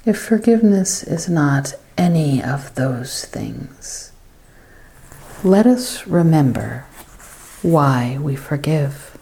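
Slow, low-voiced woman leading meditation. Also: expressive, dark tone. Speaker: guided meditation leader. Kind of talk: guided meditation, scripted devotional narration.

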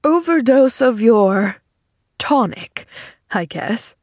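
A woman reading the part of a lonely, earnest older man in an audiobook, halting and easily flustered. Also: mid-range voice, gravelly, a little mellow, no room sound, clean studio sound. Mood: wry. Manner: halting, pleading. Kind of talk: audiobook character acting.

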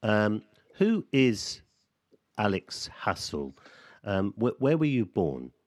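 A man interviewing an actor, teasing him gently and restarting his questions often. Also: fairly low pitch, sing-song, takes it slowly. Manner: teasing, hesitant with many restarts. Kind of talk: casual interview conversation.

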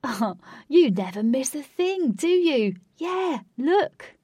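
A fun-loving young man teases his friends in a playful voice, as a woman narrator puts him on. The voice sits higher than her own. The sound is dry and close. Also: sing-song, slow pace, warm tone, no echo, exaggerated voice. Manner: playful, teasing.

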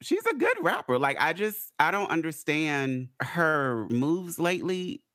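A man co-hosting a casual pop culture chat, talking in an animated, rambling way. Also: fairly high pitch, no echo, studio quality. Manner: animated, rambling, quick to rant. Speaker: podcast co-host.

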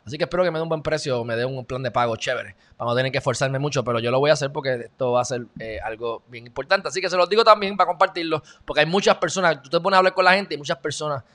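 A man's voice: on the high side, rapid-fire, few pauses, fairly bright tone. Chatty Puerto Rican man. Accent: Puerto Rican Spanish. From Puerto Rico. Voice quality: loose, chatty, joking asides. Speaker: video host. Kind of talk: casual news commentary.

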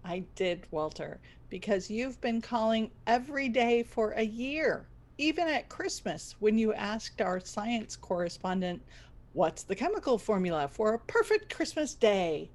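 A woman's voice: a little high, very animated, measured pace. A woman playing an earnest, frazzled radio station boss in a scripted audio comedy, theatrical and wry.

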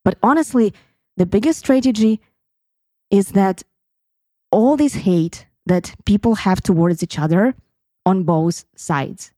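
A woman's voice: mid-range voice, a little slow, long pauses, mellow, no echo, clean studio sound. A woman in her thirties from Crimea whose first language is Russian, telling a personal story on her podcast in an earnest, reflective way. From Crimea, Ukraine. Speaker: podcast host.

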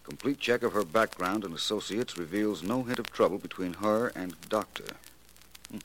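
An actor playing an urbane, confident private detective in 1940s radio style, polished and wry, on a noisy old recording. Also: male voice, fairly low pitch, moderate pace, a bit bright, close to an everyday voice. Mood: musing. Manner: polished, self-assured, wry.

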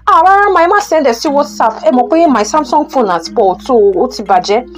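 An actress plays an eager young Nigerian mother in an audio drama. Her voice sits high, and she speaks politely and deferentially, mixing languages as she goes. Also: expressive, brisk, soft background music. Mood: grateful, polite. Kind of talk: audio drama acting, Yoruba-English phone conversation.

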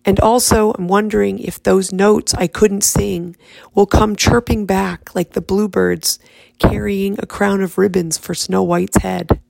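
A woman reading her own poem aloud in a raspy, hoarse voice from laryngitis, speaking softly. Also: medium pitch, steady tone, no room sound, rough recording. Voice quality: raspy, fried voice. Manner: soft, unhurried speaking.

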